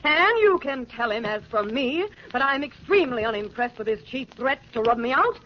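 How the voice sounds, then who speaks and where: A woman acts a slangy American showgirl trying to sound refined. She is brassy, affected and lively in pitch. It is a vintage radio drama, dull with faint noise. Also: normal speed, lightly acted. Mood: haughty, confiding. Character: brassy showgirl, putting on genteel airs. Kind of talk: 1940s radio comedy-drama acting. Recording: muffled, slightly noisy 1949 radio.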